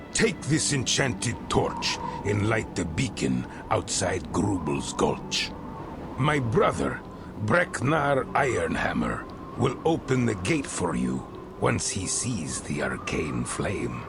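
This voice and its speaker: Hospitable dwarf monarch, very low gravelly voice, slow, emphatic, game cutscene. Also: male voice, very animated, full character voice. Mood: stately, grateful. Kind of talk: video game cutscene acting. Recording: loud music behind. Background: loud music.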